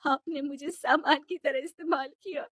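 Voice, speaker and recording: High-voiced headstrong young daughter played by a woman. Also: fairly even intonation, moderate pace, a bit bright, no room sound, studio quality. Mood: hurt, accusing. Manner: insistent, emotional pleading. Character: headstrong young rich daughter, sincere and lovelorn.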